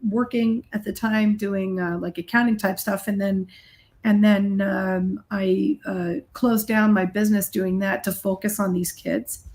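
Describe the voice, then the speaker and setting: A woman's voice: medium pitch, a little mellow. A middle-aged woman talking casually as an interview guest, candid and emphatic in a conversational way. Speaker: guest, rock guitarist and recording artist.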